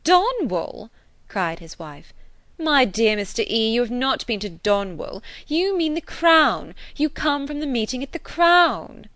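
A woman's voice: on the high side, animated, unhurried, fairly bright tone, no echo, pitched above the performer's own voice. A woman voicing a chattering, self-satisfied young wife who talks in an affected, gushing way. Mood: scolding.

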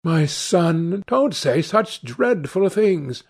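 A man's voice: fairly high pitch, lively intonation, very slow, slightly rough, pitched above the performer's own voice, exaggerated voice. A man voicing an affected, self-dramatising middle-aged mother in a storybook, genteel and plaintive in manner. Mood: pained, grand.

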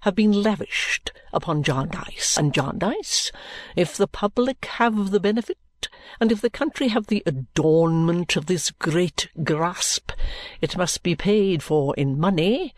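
A woman voicing a pompous, condescending senior male lawyer in an audiobook, with grand, drawn-out phrasing. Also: lowish voice, expressive, no echo. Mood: grandiose, severe. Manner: orotund, drawn-out, pompous, self-satisfied speech.

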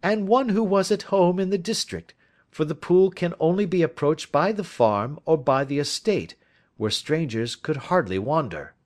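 A man's voice: a little high, lively intonation, moderate pace, pitched above the performer's own voice, natural voice. A man reading the part of a brilliant Victorian detective, who lectures in a precise, emphatic way. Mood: lecturing.

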